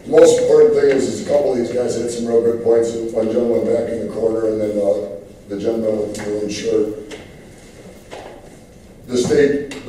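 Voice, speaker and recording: A man's voice: fairly low pitch, mellow, slight background noise. A man who is losing his voice, speaking earnestly with many fillers during public comment. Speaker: public commenter at city meeting. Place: large council chamber, standing at podium. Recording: roomy, distant council-chamber recording.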